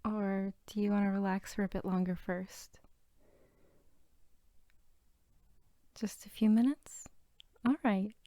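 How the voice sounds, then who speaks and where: A woman playing a sweet, bookish, playful archivist girlfriend in an intimate ASMR roleplay, soft-spoken and teasing. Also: mid-range voice, steady tone, normal speed, no echo, natural voice. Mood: relaxed, tender. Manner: soft-spoken, often near whisper, giggly, teasing.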